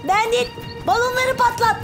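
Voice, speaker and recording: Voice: very high-pitched, steady tone, normal speed. An actor voicing a bold, childlike cartoon bus with eager superhero bravado in a children's show. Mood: encouraging. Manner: exclamatory, eager, playful bravado. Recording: loud music behind the voice. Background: loud cartoon music.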